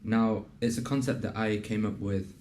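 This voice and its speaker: A young man hosting a casual interview and pitching his program in an earnest, conversational way, with plenty of fillers. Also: fairly even intonation, normal speed, a little mellow, slight background noise. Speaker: host and program founder. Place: in a building in a city business district.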